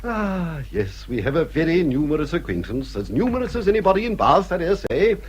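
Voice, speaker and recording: An actor playing a vain, snobbish elderly English baronet in a period radio drama, blustering and pompous. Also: male voice, a little high, very animated, brisk, lo-fi sound, slight background noise. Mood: self-important, boastful. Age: older.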